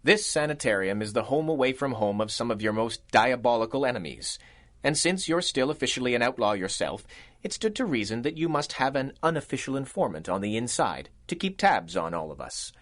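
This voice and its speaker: Haughty criminal genius with swooping pitch. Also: male voice, very animated, fairly quick, a bit bright. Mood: smug. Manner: pompous, verbose, condescending. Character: arrogant male criminal genius, haughty asylum inmate. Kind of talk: radio drama acting in 1930s pulp serial manner.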